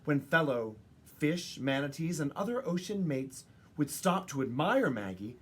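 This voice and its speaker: A woman narrates a storybook for young children. Her pitch swings widely and her tone has a husky, breathy edge. She leans on key words and leaves dramatic pauses. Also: big pitch swings. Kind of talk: expressive picture-book read-aloud.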